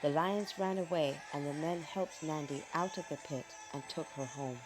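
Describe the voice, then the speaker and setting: A woman narrating a children's folk tale from a script in a dramatic, expressive storytelling manner. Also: deep voice, moderate pace, music in the background, poor audio.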